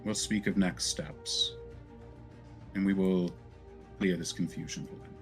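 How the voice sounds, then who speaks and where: A man voicing a frail elven noblewoman in a tabletop game, earnest and solemn, tender yet quietly indignant. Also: low voice, steady tone, measured pace. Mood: earnest, reassuring. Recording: music behind the voice.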